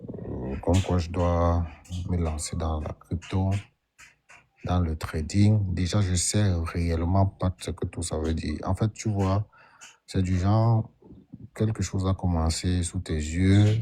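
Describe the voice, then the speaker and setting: A man joins a live stream as a caller and speaks slowly and cautiously, often restarting his sentences. His voice is very low, dark and husky. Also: steady tone. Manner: hesitant, restarts sentences, careful. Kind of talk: casual call-in talk.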